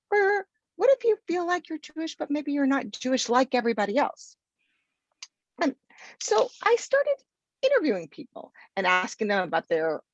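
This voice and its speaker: A woman's voice: mid-range voice, husky, no echo. A woman from Argentina, a sociology professor, giving an informal lecture over a video call, talking casually with many ums and restarts. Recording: dull lo-fi video call audio.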